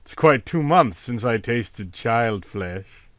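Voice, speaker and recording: A man's voice: mid-range voice, expressive, dry recording, studio quality. Man voicing a languid, uncanny feral teenage boy. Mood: menacing relish. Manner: languid, patronising, savours words slowly. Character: uncanny feral wild boy of sixteen, lazy, mocking.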